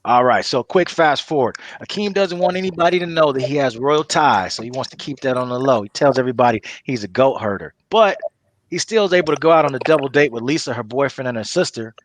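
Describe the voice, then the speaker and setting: Male narrator, medium pitch, casual storytelling, reading scene directions for a comedy script. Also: lively intonation, quick pace. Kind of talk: reading stage directions for a comedy table read.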